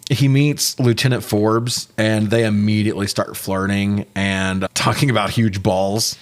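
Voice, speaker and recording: A man hosting a movie review podcast, recapping the film casually with opinionated, sweary commentary and animated retelling. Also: lowish voice, measured pace.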